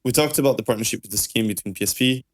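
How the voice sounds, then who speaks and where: A man's voice: medium pitch, very fast, dry recording, clean studio sound. A man hosting a podcast interview in a friendly, chatty way. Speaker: podcast host. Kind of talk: casual interview.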